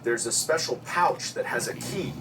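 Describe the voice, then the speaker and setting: A man's voice: medium pitch, normal speed, creaky, over music. A man giving emphatic, explanatory commentary as an expert on a news panel. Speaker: expert panel commentator, former congressional intelligence committee staffer. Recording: noisy, distant-sounding audio.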